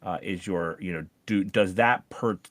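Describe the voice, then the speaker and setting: A man's voice: deep voice, measured pace, a bit bright, a little hiss or hum. A man hosting a casual podcast discussion, talking in a rambling, conversational way and posing questions. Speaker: podcast host and voice-over coach.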